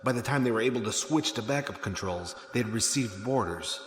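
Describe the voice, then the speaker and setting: An actor playing a dutiful military lieutenant in a sci-fi audio drama, making formal, urgent reports. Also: male voice, medium pitch, animated, fairly quick, close to an everyday voice. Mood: formal concern. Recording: echoey hall reverb, noisy ambience.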